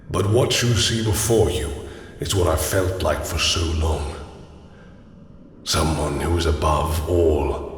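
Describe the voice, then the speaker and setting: Guy acting as an ancient god of death, slow and grand, brooding but yearning, fantasy roleplay audio, drenched in huge echo. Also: low-pitched. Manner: grandiose, solemn, slow deliberate speech. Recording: cavernous echo, long reverb, faint noise.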